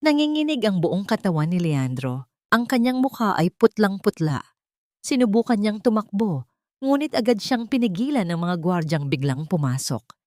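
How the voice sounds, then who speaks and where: A woman's voice: medium pitch, sing-song, normal speed, darkish tone, no echo, studio quality. A woman storyteller reading a dramatic scripted story as narration.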